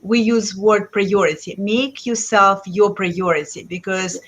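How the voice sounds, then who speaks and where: A woman's voice: medium pitch, fairly even intonation, a little slow. A woman with a Lithuanian accent hosting an interview, hesitant with many fillers. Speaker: host. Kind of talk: interview host.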